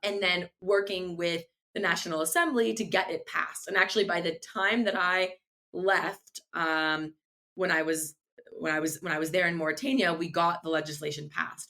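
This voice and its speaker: Woman guest on a podcast, Farsi as first language, lower pitch, bright tone, earnest with restarts. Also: normal speed. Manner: earnest, thoughtful, with frequent restarts. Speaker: guest, legal tech founder. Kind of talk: podcast interview answers.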